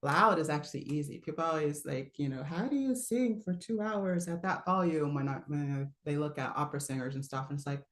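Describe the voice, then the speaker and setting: A relaxed woman with a low voice coaches singers in a live online class. She chats easily, laughs often and breaks off to demonstrate sounds. The recording has a roomy echo around her. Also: fairly quick, hardly any pauses. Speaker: voice teacher. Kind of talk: live online singing lesson.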